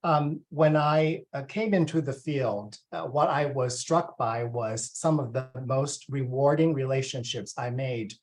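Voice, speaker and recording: A man in his forties from Taiwan, a university student affairs vice president, talking to families in a relaxed way with many ums and uhs. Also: mid-range voice, a little slow, hardly any pauses. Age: 40s. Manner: conversational with frequent ums and uhs. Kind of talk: presenting to families at a welcome session.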